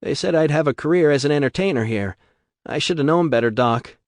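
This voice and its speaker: A worn-out, streetwise young woman talks in slang in a raised, lighter voice, as a man puts her on. Her pitch moves a lot, and the sound is close and dry. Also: lively intonation, a little fast, no echo. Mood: bitter. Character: weary young woman from a rough background, streetwise.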